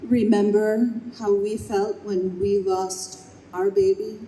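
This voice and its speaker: Woman playing a slow-spoken, composed heavenly spirit. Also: mid-range voice, little pitch movement, warm tone, close to an everyday voice. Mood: gently persuasive. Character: composed, knowing, compassionate female spirit of heaven. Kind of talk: old-time radio play acting. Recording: echoey noisy live stage.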